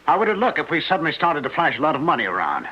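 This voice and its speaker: An actor playing an anxious, cautious husband who stammers and hesitates in a radio mystery drama. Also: male voice, a little high, wildly swooping pitch, very fast, slightly rough, heavily acted. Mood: defensive, stalling. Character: anxious, cautious husband, a failed painter hiding guilt. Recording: dull lo-fi radio recording with hiss.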